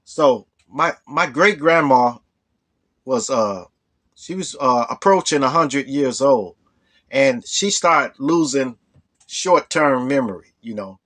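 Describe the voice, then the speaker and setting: Hoarse man telling a story. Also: mid-range voice, lively intonation, slow, fairly bright tone. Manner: rambling, full of "you know". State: hoarse from a cold. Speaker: storytelling vlogger. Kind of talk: casual storytelling.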